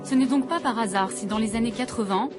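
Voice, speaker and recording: A woman's voice: a little high, fairly quick, over music. A woman from France, a journalist, reading scripted first-person narration for a documentary.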